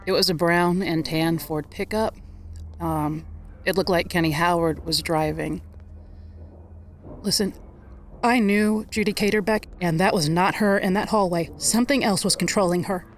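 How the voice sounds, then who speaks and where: A woman's voice: fairly low pitch, fairly even intonation, moderate pace, a little hiss or hum, natural voice. An actress playing a level-headed, insistent woman in an audio drama, earnest and firm. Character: level-headed, insistent woman giving witness and guarding a friend. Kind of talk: naturalistic audio drama acting.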